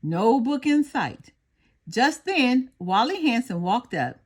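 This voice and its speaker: A woman narrating a children's storybook aloud in an expressive read-aloud style. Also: a little high, takes it slowly.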